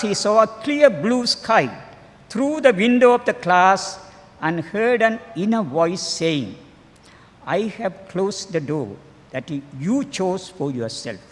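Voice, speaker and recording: An older man with a Sri Lankan accent reading a formal tribute as a clergyman, measured and a little halting. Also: high-pitched, a bit bright. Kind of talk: formal read-aloud biographical tribute. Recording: long hall reverb.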